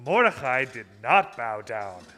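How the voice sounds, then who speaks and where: A man narrating a puppet show for children in simple, measured, theatrical phrases. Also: medium pitch, very animated, pushed and bright, soft background music. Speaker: storyteller, narrator. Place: social hall, live broadcast.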